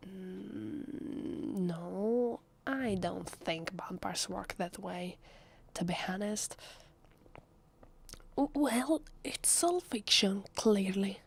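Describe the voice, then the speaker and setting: A woman with an Italian accent playing an evasive, flustered female vampire posing as human in an ASMR roleplay, speaking softly and hesitantly in a husky half-whisper. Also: medium pitch, full character voice. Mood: earnest, explaining. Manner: hesitant, slow, drawn-out.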